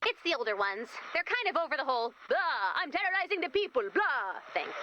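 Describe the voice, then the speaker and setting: A woman's voice: sits high, sing-song, brisk, pushed and bright, no echo, muffled recording, exaggerated voice. A woman playing a peppy, encouraging young mission leader in a video game, chatty and coaxing. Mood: amused. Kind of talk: video game character voice acting.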